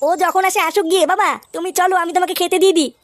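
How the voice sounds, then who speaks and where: A woman's voice: very high-pitched, level tone, racing. A woman voicing a suspicious young housewife in a comedy cartoon, with a nagging domestic manner. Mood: dutiful.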